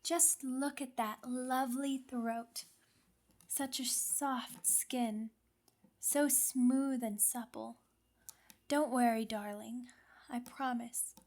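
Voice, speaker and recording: A woman performs a sadistic female vampire in an ASMR roleplay, her voice fairly high and very unhurried. She is seductive and commanding, speaking with teasing menace and condescending tenderness, as if to a possession. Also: fairly even intonation, darkish tone. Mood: predatory, teasing. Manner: slow, deliberate, condescending pet names, teasing menace. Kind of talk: ASMR roleplay, intimate scripted monologue.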